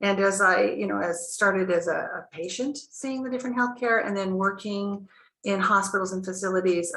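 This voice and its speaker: A woman's voice: medium pitch, a bit bright. A woman from the United States with an American accent, giving a chatty, casual presentation at a webinar. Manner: chatty, casual, with frequent "you know". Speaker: nursing director, webinar speaker. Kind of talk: conversational presentation. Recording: echoey room.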